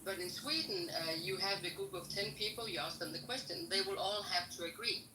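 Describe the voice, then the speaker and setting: A woman's voice: fairly low pitch, brisk, noisy background. A woman from Denmark with a Danish accent, telling wry, direct stories in a casual group discussion. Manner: wry, direct, teasing storyteller. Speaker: discussion participant. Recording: echoey room.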